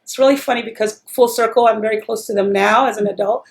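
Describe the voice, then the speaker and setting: A woman's voice: fairly high pitch, fairly even intonation, fast talker. A woman from El Paso, Texas, talking in an oral history interview in a chatty, rambling way, full of restarts.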